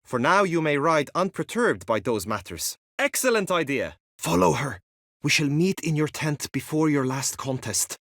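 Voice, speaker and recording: Casting: proud, devoted young knight for a fantasy game. Courtly, earnest, very animated pitch, close dry recording. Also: male voice, normal speed, no echo, exaggerated voice. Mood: eager, instructive. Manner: earnest, courtly, theatrical, archaic phrasing. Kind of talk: video game character acting, courtly formal speech.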